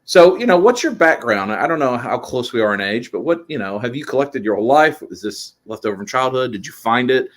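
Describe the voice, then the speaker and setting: A man's voice: medium pitch, big pitch swings, fairly quick, hardly any pauses. A man in his early forties hosting a casual live-stream interview, chatty, with frequent stumbles and restarts. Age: 40s.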